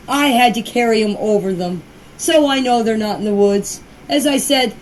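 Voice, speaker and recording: Older woman, higher voice, earnest and plain-spoken, informal phone voice message with faint noise. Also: far from the mic, low-quality recording. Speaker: grandmother of missing children.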